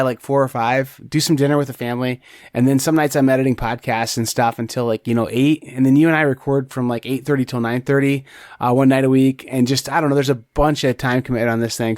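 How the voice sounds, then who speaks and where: A man's voice: medium pitch, fairly even intonation, fast, darkish tone, no echo, clean studio sound. A man co-hosting a board game podcast, talking casually and rambling with plenty of restarts. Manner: rambling, many restarts and fillers. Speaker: podcast co-host. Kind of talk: casual chat.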